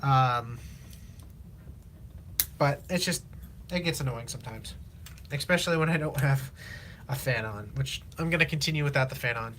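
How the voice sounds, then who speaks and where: A teenage man from Illinois, chatting casually on a live stream while he builds, rambling with lots of fillers, his voice slightly raspy from long talking. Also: medium pitch, a bit bright, slight background noise. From Illinois, USA. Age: teens. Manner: rambling, halting, many fillers and restarts. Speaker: livestreamer.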